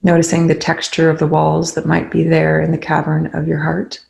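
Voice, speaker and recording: A meditation leader guiding listeners in a soothing, unhurried way, with pauses between short instructions. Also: mid-range voice, monotone, gravelly, darkish tone. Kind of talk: guided meditation.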